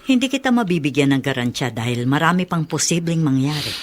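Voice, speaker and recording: A woman's voice: deep voice, expressive, quick pace. A woman playing a frank, cautious doctor in a radio drama. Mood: grave, frank. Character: frank, cautious doctor giving a guarded prognosis.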